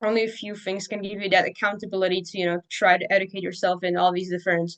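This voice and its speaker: A young woman whose first language is Czech, speaking as a guest on a podcast. She talks loosely and casually, with many fillers and restarts, at a middle pitch. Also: little pitch movement, fast, fairly bright tone. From Czech Republic. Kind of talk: casual interview talk.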